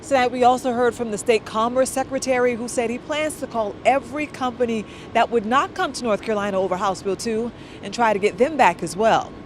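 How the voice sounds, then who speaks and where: A woman's voice: on the high side, fairly even intonation, brisk, hardly any pauses, a little hiss or hum. A woman giving a scripted live news report as a TV reporter. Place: outside an arena in a city.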